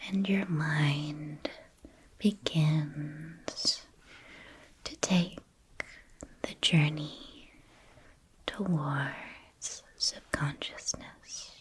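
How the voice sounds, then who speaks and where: A woman whispering a guided ASMR meditation, speaking gently and soothingly in measured phrases with long pauses. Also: deep voice, steady tone, slight background noise.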